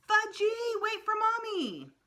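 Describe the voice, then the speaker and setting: Woman acting a doting, fussing mother, animated. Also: very high voice, slow pace, pitched above the performer's own voice, heavily acted. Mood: harried. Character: doting, anxious mother of young boys.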